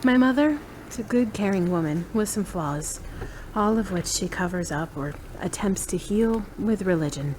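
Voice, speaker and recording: A woman's voice: mid-range voice, lively intonation, normal speed, background noise, lightly acted. A woman playing a frank, caring friend with a conversational, matter-of-fact way of talking, in an audio drama. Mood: reflective. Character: frank, caring woman, tired but gently teasing. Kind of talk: hobby audio drama acting.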